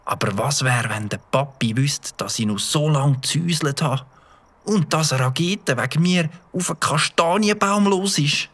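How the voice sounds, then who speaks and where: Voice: medium pitch, normal speed, a bit bright, husky. An adult voicing a young Swiss German boy who stammers with fillers, in a children's audio drama. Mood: worried. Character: young boy, mischievous but sheepish. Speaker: child character.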